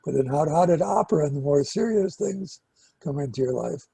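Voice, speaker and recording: An older man hosting a casual interview, hesitant and pausing mid-sentence as he asks questions. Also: fairly high pitch, animated, vocal fry, dark tone, husky, no room sound. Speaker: interviewer and host, record producer.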